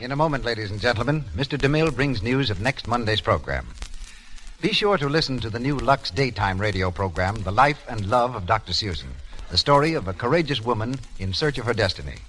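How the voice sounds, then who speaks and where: A man's voice: medium pitch, animated, brisk, a bit bright, rough recording. A man with an American accent reading scripted announcements and advertising copy as a 1930s radio announcer.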